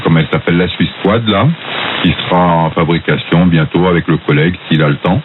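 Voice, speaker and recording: A man's voice: low voice, fairly even intonation, fast. A man chatting in an easygoing way as an amateur radio operator, heard through a radio transmission. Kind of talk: casual radio exchange. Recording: muffled, hissy amateur radio signal.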